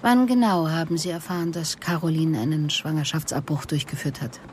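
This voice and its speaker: Composed, probing investigator played by an actress. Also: female voice, deep voice, fairly quick, slight background noise, natural voice. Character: probing female police investigator, composed and relentless. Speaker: interrogator and narrator. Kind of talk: German radio drama acting with introspective narration.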